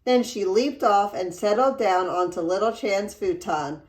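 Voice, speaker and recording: A woman reading a children's storybook aloud in an expressive, deliberate storytelling manner. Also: mid-range voice. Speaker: read-aloud narrator. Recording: roomy echo.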